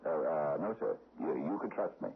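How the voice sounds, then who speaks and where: Timid, stammering deep-voiced American man. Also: lively intonation, moderate pace, creaky, full character voice. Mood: fearful pleading. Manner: nervous, stammering, hesitant, placating. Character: timid, stammering mild-mannered man held captive by a gunman. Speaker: actor in a radio mystery play. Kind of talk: 1970s American radio drama acting. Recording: muffled, noisy old radio broadcast recording.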